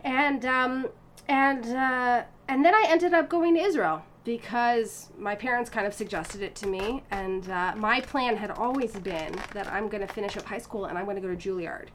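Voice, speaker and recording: A woman's voice: on the high side, moderate pace, a little hiss or hum. A woman in her thirties from the United States, telling her story in a casual interview with many fillers, restarts and laughs. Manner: animated, frequent fillers and restarts, laughs often. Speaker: interview guest, violinist.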